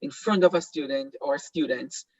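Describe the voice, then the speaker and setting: A man's voice: mid-range voice, moderate pace, a little mellow, no echo, dull audio. A Jamaican man whose first language is Patois hosts an online seminar, talking hesitantly with many fillers and restarts. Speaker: host.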